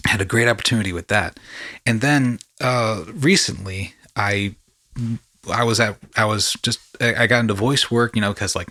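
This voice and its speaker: A man's voice: on the low side, pushed and bright, no echo, studio quality. A man hosting a podcast, talking in a casual, rambling storytelling way with slangy asides. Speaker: podcast host.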